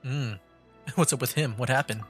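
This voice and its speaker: A man's voice: mid-range voice, moderate pace, a bit bright. A man chatting casually as he commentates on a game for a livestream. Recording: game music in the background.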